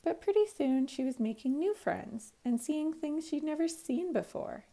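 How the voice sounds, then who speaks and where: A woman, a teacher reading a children's storybook aloud in an expressive, clearly phrased way. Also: high-pitched, normal speed. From Canada.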